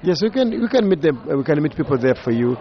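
A man's voice: a little high, sing-song, quick pace, lo-fi sound, a faint crowd. A man with a Ugandan accent, a safari tour operator talking in a radio interview, hesitant and searching for words with many fillers.

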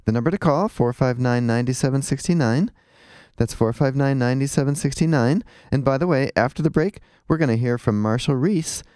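A man's voice: medium pitch, dark tone, smooth, no echo, clean studio sound. A man hosting a radio talk show, upbeat, asking short follow-up questions.

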